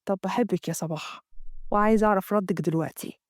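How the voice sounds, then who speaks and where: A woman voicing a smooth-talking, manipulative young man with an Egyptian accent and a low, soft murmur. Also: fast, no echo, clean studio sound, natural voice. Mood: pleading. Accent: Egyptian Arabic. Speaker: storyteller voicing a character.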